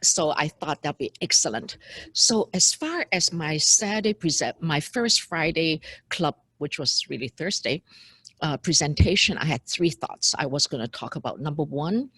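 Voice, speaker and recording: Low, husky woman in her seventies. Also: expressive, normal speed, a bit bright, dry recording, poor audio. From Hong Kong. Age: 70s. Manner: earnest, speaks in lists of points and questions. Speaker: immigration lawyer. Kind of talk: recorded talk.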